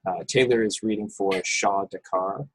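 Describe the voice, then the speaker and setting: A man's voice: lowish voice, moderate pace, slightly rough, low-quality recording. A man reading scripted action descriptions as narrator in an informal, unpolished way on an amateur online table read. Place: video call from a room.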